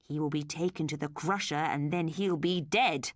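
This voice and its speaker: A woman's voice: moderate pace, dry recording, clean studio sound. A woman doing a tearful little girl. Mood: defiant. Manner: high, pleading, sobbing. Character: tearful little girl, devoted to her robot.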